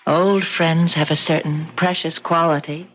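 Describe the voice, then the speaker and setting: An actress playing a doting, sweetly probing wife, genteel and deliberate, in an old-time radio drama. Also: female voice, low-pitched, fairly even intonation, a little hiss or hum. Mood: sweetly insinuating. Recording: muffled old radio recording.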